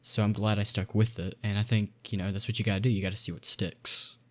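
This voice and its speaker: A man's voice: fairly low pitch, level tone, fast. A young man chatting on a podcast in an earnest, encouraging way, hesitating with ums as he talks. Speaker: YouTuber and podcast co-host. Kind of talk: casual chat.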